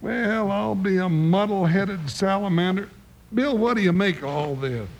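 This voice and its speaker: An actor playing a folksy, excitable, good-natured cowboy sidekick in a 1950s Western radio show, with comic exclamations. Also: male voice, high voice, unhurried, dull audio. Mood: folksy, puzzled. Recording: noisy vintage radio recording with clicks.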